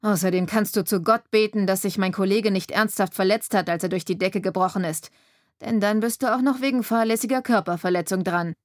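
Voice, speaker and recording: A woman's voice: mid-range voice, brisk, dry recording, studio quality, close to an everyday voice. A woman voicing a bullying, heavyset middle-aged male sheriff who talks through a barely opened mouth. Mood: threatening. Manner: menacing, scornful.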